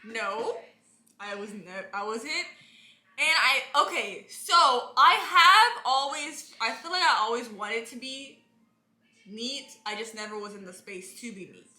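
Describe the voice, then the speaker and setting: A woman's voice: on the high side, lively intonation, a little slow, bright, forceful tone, echoey room. A woman in her twenties, a vlog co-host, playfully bickering with her partner in casual chat. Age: 20s.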